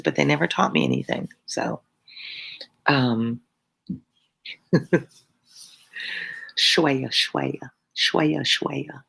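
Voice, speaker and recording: A middle-aged tutor with a gravelly, slightly husky voice reads a classic novel aloud during a live stream. Between passages the tutor chats with viewers in a loose, playful, self-deprecating way. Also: mid-range voice, a bit bright, poor audio. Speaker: tutor hosting a live read-along. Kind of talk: reading a novel aloud, then chatting with viewers.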